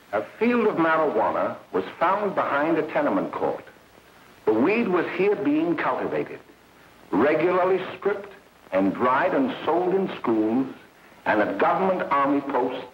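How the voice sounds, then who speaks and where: An actor playing a stern, alarmist doctor giving a grave, formal lecture in a 1930s propaganda film. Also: male voice, fairly high pitch, echoey room. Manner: grave, emphatic, formal, melodramatic. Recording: scratchy, muffled 1930s film soundtrack.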